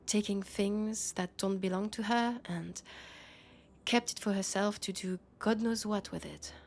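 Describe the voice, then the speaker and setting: Slow-talking weary French-accented policewoman, acted by a woman. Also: medium pitch, fairly even intonation. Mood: uneasy, confiding. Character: weary, guarded young policewoman confiding in her housemate. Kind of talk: intimate sci-fi audio roleplay.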